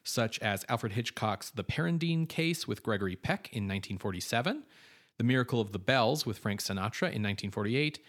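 A man with a fairly low voice runs through film history notes for a podcast. He has a prepared, informative delivery and loosens it with casual fillers and asides. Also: lively intonation, fairly quick, fairly bright tone. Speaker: podcast co-host.

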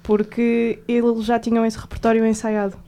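Portuguese woman cohost, mellow warm voice, tells little trivia stories calmly. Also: a little high. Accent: European Portuguese. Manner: explains trivia, measured storytelling. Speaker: podcast co-host. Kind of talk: casual chat about music.

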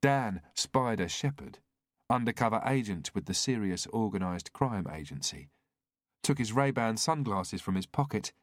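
A man's voice: on the low side, lively intonation, normal speed, no echo, studio quality. A man reading a scripted thriller as an audiobook narrator.

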